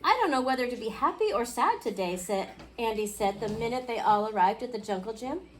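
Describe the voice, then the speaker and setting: A woman reading a picture book aloud to a class, expressively, pausing to question her young listeners. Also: a little high, lively intonation. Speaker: children's storybook reader. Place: indoor school classroom. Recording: clearly noisy classroom recording.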